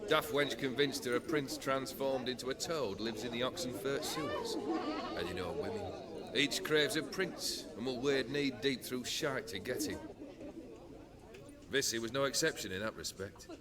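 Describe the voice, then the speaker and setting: A world-weary lord of a rowdy band acted by a man in a fantasy game. His medium-pitched voice is eloquent and haughty, set against a loud crowd. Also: sing-song, moderate pace, lightly acted. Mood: wry, dismissive. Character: jaded, lordly male chieftain of a rowdy band. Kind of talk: video game cutscene acting. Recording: loud crowd chatter behind.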